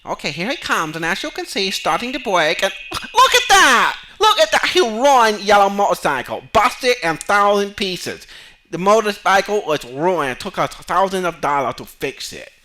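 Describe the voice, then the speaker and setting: Someone doing an excitable broken-English riding instructor. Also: mid-range voice, normal speed. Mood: exasperated. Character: male motorcycle instructor, broken English, exasperated. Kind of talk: comedy sketch interview.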